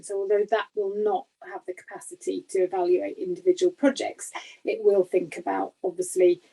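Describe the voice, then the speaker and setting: Woman on a work call, husky n croaky, soft dark tone, explaining things carefully. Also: lowish voice, rough recording. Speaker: grant programme lead answering questions. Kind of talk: unscripted explanation on an online information call. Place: online call.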